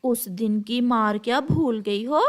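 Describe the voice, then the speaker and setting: Lady playing a cranky old crow mother in law in a cartoon, scolds and nags in a high voice. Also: slow. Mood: scolding. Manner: nagging, scolding. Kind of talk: animated moral story dialogue.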